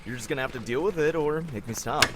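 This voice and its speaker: An actor playing a playful, sarcastic older brother in a naturalistic audio drama. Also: male voice, medium pitch, quick pace, heavy background noise, close to an everyday voice. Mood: teasing. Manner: sarcastic, casual.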